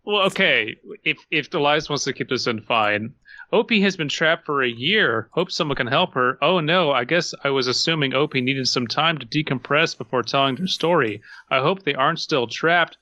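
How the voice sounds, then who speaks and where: A man with a somewhat high, forceful voice co-hosts a podcast. He banters casually and swears freely, sounding like an exasperated surfer kid. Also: lively intonation, normal speed, no room sound. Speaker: podcast co-host.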